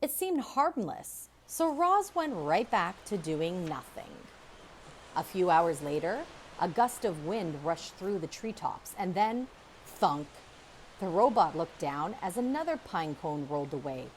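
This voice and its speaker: A woman reading a children's chapter book aloud, with expressive, measured storytelling and dramatic pauses. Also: lowish voice, a little hiss or hum, poor audio. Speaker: storybook reader. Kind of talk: children's audiobook read-aloud, scripted narration.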